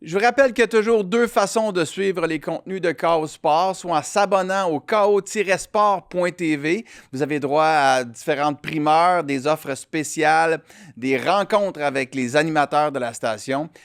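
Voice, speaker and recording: A man's voice: a little high, normal speed, clean tone, dry recording, clean studio sound. A man with a Quebec French accent reading a show promo in an upbeat announcer manner. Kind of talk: promo read.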